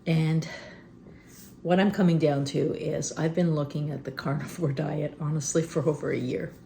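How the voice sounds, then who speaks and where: A woman in her fifties talks to camera in a loose, rambling way, full of fillers and pauses. Her low, gravelly voice sounds phlegmy, and she keeps clearing her throat. A faint hiss sits under the recording. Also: steady tone, dark tone. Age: 50s. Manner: rambling, candid, many fillers. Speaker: vlogger. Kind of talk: unscripted personal vlog. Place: rural homestead.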